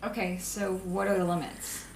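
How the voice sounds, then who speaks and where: Echoey room, faint noise, woman acting a young villain's accomplice, slightly high voice, matter-of-fact, insistent, unapologetic, audio drama. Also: level tone, normal speed, creaky, lightly acted. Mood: skeptical, dry. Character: Ether, pragmatic, unapologetic young villain's accomplice and best friend.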